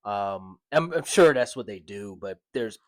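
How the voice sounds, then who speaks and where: A young adult man co-hosting a podcast, speaking in a hesitant way with many fillers, his sentences trailing off quietly. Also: fairly low pitch, animated, measured pace, crowd noise. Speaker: podcast co-host. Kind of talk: casual podcast discussion.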